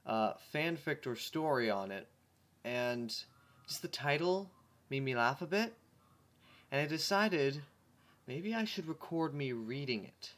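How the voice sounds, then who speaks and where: A man's voice: on the high side, expressive, slow, long pauses, a bit bright. A man casually reading a fan story aloud and commenting on it with amusement, often stumbling over words. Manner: amused, stumbling, laughs at the text. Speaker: channel host reading fan fiction.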